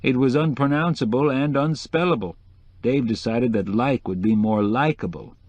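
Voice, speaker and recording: Audiobook character, male reader as flamboyant male decorator, sly, gushing, hip slang with French phrases, raised pitch. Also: moderate pace, a little mellow, dry recording, close to an everyday voice. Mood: wry. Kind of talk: acted audiobook dialogue.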